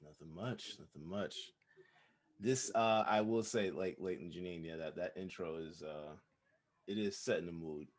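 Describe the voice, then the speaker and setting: Easygoing lower-voiced man co-host. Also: moderate pace. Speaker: podcast co-host. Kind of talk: casual podcast chat.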